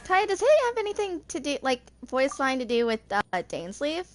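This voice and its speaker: A woman's voice: high-pitched, very animated, moderate pace. A woman in her twenties casually commenting on a game, half talking to herself. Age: 20s. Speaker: gamer video host. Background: game music.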